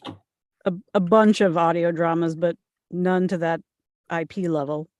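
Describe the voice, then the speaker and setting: A woman's voice: lowish voice, fairly even intonation, moderate pace, darkish tone, no room sound, clean studio sound. A woman chatting casually as a participant in a group discussion. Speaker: table read participant.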